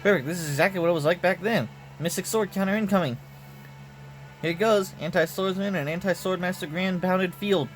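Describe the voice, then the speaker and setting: A man with a slightly high voice streams a video game. He comments on the play and reads dialogue aloud. Clear game music runs behind him. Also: a little fast, poor audio.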